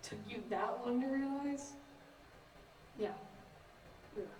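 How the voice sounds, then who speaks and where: A woman's voice: fairly high pitch, slow. A young woman playing a blunt, impatient, sarcastic character in a student stage play, speaking curtly. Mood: scornful. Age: young adult. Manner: sarcastic, curt. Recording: echoey, distant room sound.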